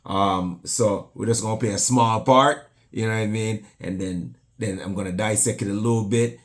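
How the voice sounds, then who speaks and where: A man's voice: fairly low pitch, normal speed. A man from Jamaica with a Jamaican accent, chatting casually to his channel about a dancehall song with lots of fillers and bits of patois. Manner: animated, slips into patois, many fillers. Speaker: YouTuber reacting to music. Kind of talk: casual reaction vlog talk.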